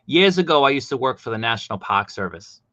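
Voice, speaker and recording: A man's voice: mid-range voice, expressive, sharp tone, no room sound, dull audio. A middle-aged man with a Boston accent, a guest toy collector chatting casually and telling jokey stories. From Boston, USA. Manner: jokey, chatty anecdotes with quick bursts. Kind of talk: casual chat, storytelling.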